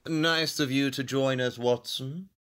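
A man's voice: mid-range voice, steady tone, a little slow, close to an everyday voice. A man playing an aloof, cerebral master detective in an audio play, speaking in a pompous, wry and clipped manner. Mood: coolly courteous. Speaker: actor. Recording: noisy background.

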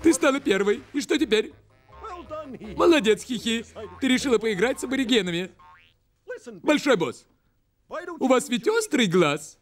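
A cheeky little cartoon bird sidekick, shrill and jokey, his very high voice bouncing all over the place. He is excitable and never still. Loud cartoon music plays underneath him. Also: moderate pace, long pauses. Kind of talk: dubbed cartoon voice acting.

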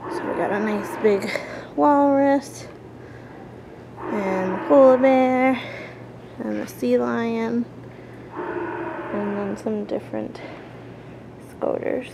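A woman's voice: a little high, extremely slow, vocal fry, pauses often. A young woman casually narrating a walking tour of an indoor museum, pointing out exhibits as she goes, on a handheld camera mic. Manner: relaxed, pointing things out as she walks. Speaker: video tour host. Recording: noisy handheld camera audio.

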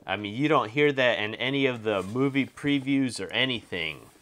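A man's voice: mid-range voice, moderate pace, a bit bright. A man giving casual, informal unboxing and review commentary as a toy reviewer, easygoing and a bit repetitive.

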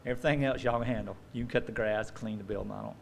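A man's voice: on the low side, rapid-fire, vocal fry, slight background noise. A man in his forties, a pastor preaching a sermon from a lectern on a church stage, telling stories and acting out the voices of people he quotes. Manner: animated storytelling, acts out quoted voices. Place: church stage with dark curtain. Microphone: stand mic at lectern.

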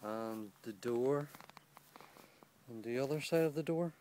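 Man, plain mid-pitched voice, matter-of-fact gear reviewer outdoors, handheld camera mic. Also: unhurried, a little mellow, slight background noise. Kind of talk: casual product walkthrough. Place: outdoors on a lawn beside a small tent. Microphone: handheld camera held by the speaker.

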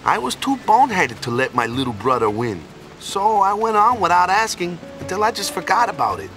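Bright, folksy island guy. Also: high voice, big pitch swings, brisk, faint music behind, exaggerated voice, rough recording. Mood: reflective, unsure. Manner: folksy and casual, tags sentences with "yeah?" and "you know?". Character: burly, boneheaded, good-hearted island man, soon a father. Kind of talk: video game voice acting.

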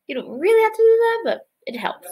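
A young woman preschool teacher reading a picture book aloud to small children and explaining it, with animated, exaggerated expression. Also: extremely high pitch, fairly quick. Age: young adult. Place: small living room, indoors, on a couch.

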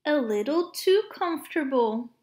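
A woman reading children's picture books aloud to preschoolers in an expressive, measured way. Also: high voice. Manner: expressive, measured phrasing with playful sound words. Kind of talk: storybook read-aloud for preschoolers.

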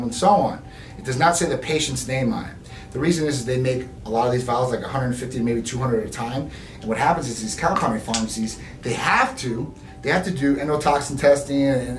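A man in his forties gives a direct-to-camera explainer as a clinic spokesman, emphatic and tagging his points with "right?". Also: mid-range voice. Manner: emphatic, repeats "right?" often. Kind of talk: direct-to-camera educational talk. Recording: roomy, distant, faint music bed.

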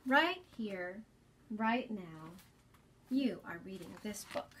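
A woman in her thirties hosting a children's storytime, explaining gently and deliberately in a slightly breathy voice. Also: medium pitch, animated, a little hiss or hum. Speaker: children's storytime host at a library. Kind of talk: children's storytime reading and talk. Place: small library space, indoors.

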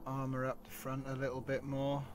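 A man's voice: mid-range voice, monotone, fairly quick, a little hiss or hum. A man building a ship in a game, talking it through casually and laughing at his own mistakes. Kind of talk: casual gaming chat.

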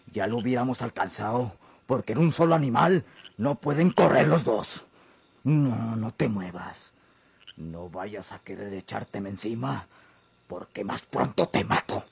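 An actor playing an obedient but wavering armed ranch henchman in a Mexican radio drama, with a husky voice and a gruff rural Mexican accent. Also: fairly low pitch, no echo, heavily acted. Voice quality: husky, gravelly. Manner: gruff, rustic speech.

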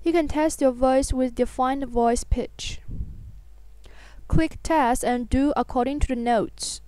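A woman with a high voice narrates a scripted tutorial. Her tone is smooth and clean, captured very dry. She explains things step by step in an instructional way. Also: slow pace, warm tone, no echo.

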